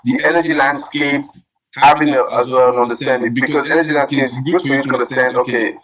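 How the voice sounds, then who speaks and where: A man who grew up in Cameroon gives a webinar talk at a middle pitch. He restarts his sentences and trips over his words, and his energy keeps building. The sound of a crowd carries clearly behind him. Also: steady tone, fast talker, rough recording. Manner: many restarts and fillers, rising energy. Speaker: webinar speaker. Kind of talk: presenting in a webinar.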